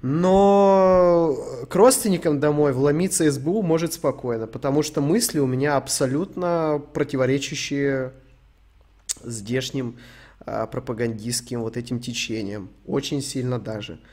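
A man's voice: mid-range voice, normal speed, a little mellow. A young man from Ukraine streaming, answering chat questions in a rambling, sweary, joking way. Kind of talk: casual stream Q&A.

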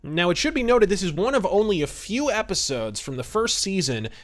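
A man's voice: sits high, big pitch swings, fairly quick. A man hosting a podcast, giving casual history commentary in a conversational way with wry asides. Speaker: podcast host.

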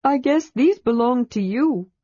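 A woman's voice: high-pitched, expressive, a little slow, warm tone, breathy edge, no room sound, dull audio, voice pushed up, full character voice. A woman voicing a small, cheerful and curious kender who rambles eagerly.

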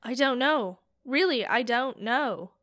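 A woman's voice: on the high side, lively intonation, slow pace, a bit bright, no echo, studio quality. A woman voicing a lost, puzzled young man who cannot remember who he is. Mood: confused. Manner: hesitant, puzzled.

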